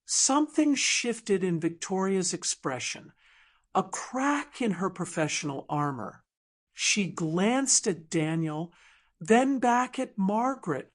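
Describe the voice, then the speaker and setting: A woman narrates a dramatic audiobook story. Her voice sits at a medium pitch, and she takes her time through the scripted prose with a very unhurried delivery. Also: big pitch swings.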